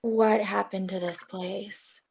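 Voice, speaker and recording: A woman's voice: mid-range voice, steady tone, slow, gravelly, a little mellow, breathy edge, heavily acted, rough recording. A woman playing a sarcastic, streetwise assistant in her mid-twenties, reading a screenplay with dry delivery. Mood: wry. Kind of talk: screenplay table read acting.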